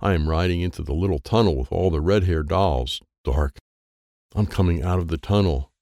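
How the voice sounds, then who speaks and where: A man's voice: low-pitched, normal speed, dark tone, no echo, clean studio sound. A man narrating a children's story in the first person as a child, in short, simple phrases. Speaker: audiobook narrator.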